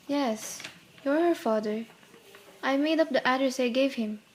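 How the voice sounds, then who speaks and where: A woman's voice: high-pitched, unhurried. An actress playing a tender, secretive, wistful lady in a radio play. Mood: pleading, guilty.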